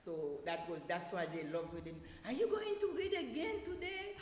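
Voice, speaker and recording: A woman telling casual anecdotes in an animated way, laughing often and acting out what people said. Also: fairly high pitch, moderate pace. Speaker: guest, teacher of small children. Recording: roomy echoing space with faint noise.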